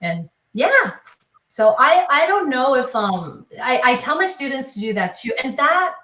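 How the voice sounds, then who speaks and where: A woman's voice: sits high, very animated, unhurried, sharp tone. A woman with a Taiwanese accent talking reflectively as an interview guest, explaining things with repeated words and phrases. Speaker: classical pianist, teacher, interview guest. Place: online video discussion, voice in an echoey room. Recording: echoey room sound.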